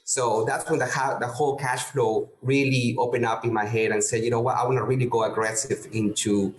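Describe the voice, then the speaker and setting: Man, halting, in a roomy space. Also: mid-range voice, steady tone, slight background noise. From Peru. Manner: halting, many restarts and fillers. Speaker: guest real estate investor. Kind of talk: casual interview talk.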